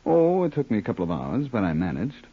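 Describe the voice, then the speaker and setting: Smooth-mannered american hitman, old radio crime show, gravelly, real lively intonation, muffled old recording. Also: lowish voice, exaggerated voice. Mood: calm, politely menacing. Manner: unhurried, musing, dryly polite. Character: cool, cultured hired killer who loves classical music. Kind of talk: 1940s-50s radio crime drama acting.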